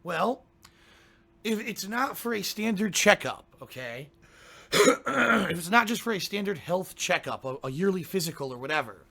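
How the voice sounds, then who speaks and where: Streamer, male, down with a cold: hoarse, congested, gravelly, grumbling chat with throat-clearing. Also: sits high, big pitch swings, measured pace, pushed and bright. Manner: grumbling, ranting, keeps clearing his throat. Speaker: game streamer. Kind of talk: casual pre-stream chat to viewers.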